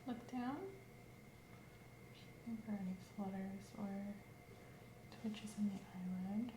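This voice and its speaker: Woman, soft-spoken ASMR examiner who drifts into whispers; roomy recording with faint hiss. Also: medium pitch, fairly even intonation, slow. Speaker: examiner in a roleplay. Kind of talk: ASMR medical exam roleplay.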